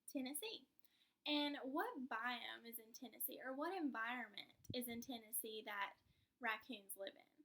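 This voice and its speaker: A woman's voice: on the high side, normal speed, fairly bright tone, a little hiss or hum. A woman teacher giving a read-aloud lesson in an encouraging, instructive manner with guiding questions.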